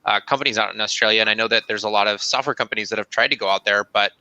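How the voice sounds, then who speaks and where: Male co-host, very bright and sharp tone, casual "like"-heavy talker, dry sound. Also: fairly low pitch, fairly even intonation, very fast, dry recording, muffled recording. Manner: casual, lots of likes. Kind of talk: casual interview chat.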